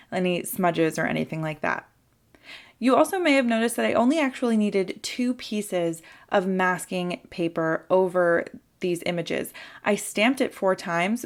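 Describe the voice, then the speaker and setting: A young adult woman with a slight sore throat hosting a card-making tutorial and calmly explaining each step. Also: fairly low pitch. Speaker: papercraft tutorial host. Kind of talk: instructional voice-over for a crafting video.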